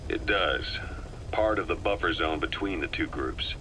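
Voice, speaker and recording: A composed, worldly military operative. His voice is low with a hard, bright edge, measured and matter-of-fact as he briefs a comrade in a video game, over faint tape noise.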